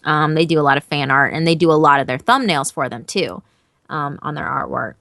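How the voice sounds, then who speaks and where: A woman's voice: low-pitched, brisk, dry recording. Emphatic woman horror narrator, dry sound. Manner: animated and emphatic, swears casually. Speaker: horror story narrator and guest. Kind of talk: casual interview chat.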